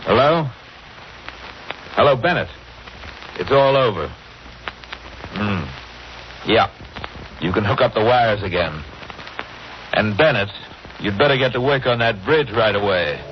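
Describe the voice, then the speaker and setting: An actor playing a calm, stern man coolly exposing a killer, measured, in 1940s radio style. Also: fairly low pitch. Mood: businesslike. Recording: muffled, noisy old radio recording.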